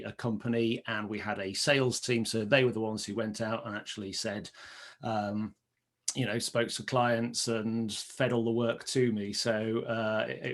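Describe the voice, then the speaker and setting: A man taking part in an online workshop, speaking hesitantly with many fillers. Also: mid-range voice. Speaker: workshop participant. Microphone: video call.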